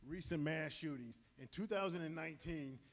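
A man's voice: a little high, moderate pace. Man presenting in a large auditorium. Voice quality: loud voice. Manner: energetic, moves around the stage while talking. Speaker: safety training facilitator. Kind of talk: live presentation to an audience. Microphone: handheld microphone.

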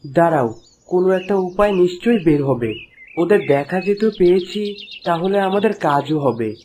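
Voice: medium pitch, animated, moderate pace, a little mellow, a little hiss or hum, close to an everyday voice. An actor voicing a protective, resolute young prince in a cartoon fairy tale, earnest and reassuring. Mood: hushed, thoughtful.

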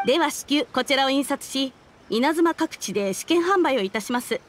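Casting: young female clerk for an anime game, high voice, formal and very courteous. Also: lively intonation, moderate pace. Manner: very polite, businesslike.

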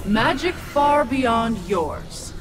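A woman's voice: on the high side, lively intonation, very slow, very noisy. A woman voicing an imperious, mocking ancient soul-devouring witch in a video game. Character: haughty, menacing ancient witch who devours souls. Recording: loud music behind studio game voice.

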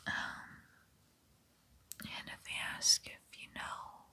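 A woman in her twenties playing a gentle, protective female vampire in a tender, soothing, breathy ASMR roleplay whisper, close to the mic. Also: slow. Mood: careful, protective. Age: 20s. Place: small bedroom, indoors. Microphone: close-up mic, face near camera.

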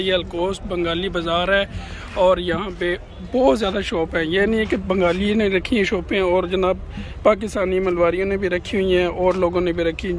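A man's voice: high voice, slight background noise. A man vlogging as he walks through an outdoor street market, chatting to viewers and calling them "janab", recorded on a handheld phone. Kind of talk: walking market tour vlog. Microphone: phone camera held by the walking speaker.

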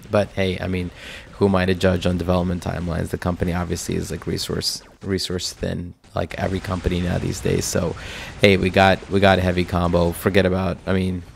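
A man's voice: low-pitched, completely flat delivery, brisk, vocal fry, slight background noise. A man with a stuffy, nasal voice from a cold, giving rambling casual commentary over a mobile game. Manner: rambling, filler-heavy. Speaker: mobile game video host.

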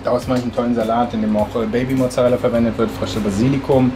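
A German man in his forties talking casually while cooking in his home kitchen, relaxed with many hesitations. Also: medium pitch, very fast. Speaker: interviewed chef. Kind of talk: casual cooking demo and interview. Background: background music.

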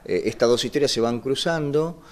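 A man in his fifties with an Argentine accent, explaining his work in an interview, haltingly and at length. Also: medium pitch, darkish tone. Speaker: radio drama scriptwriter. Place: small wood-panelled room indoors. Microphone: microphone just in front.

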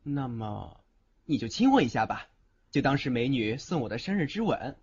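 A man's voice: mid-range voice, very animated, moderate pace, breathy edge, exaggerated voice. A young man acting a playful but protective young man in a Chinese audio drama. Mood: teasing. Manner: playful, teasing. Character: teasing, protective young man with secret tenderness. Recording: dull lo-fi audio with music.

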